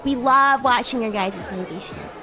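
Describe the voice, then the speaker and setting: A woman's voice: a little high, moderate pace, a crowd in the background, close to an everyday voice. A woman streamer voicing a bratty, haughty yet sweet young demon classmate in improvised, teasing roleplay chat. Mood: chatty, teasing. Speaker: streamer, VTuber host.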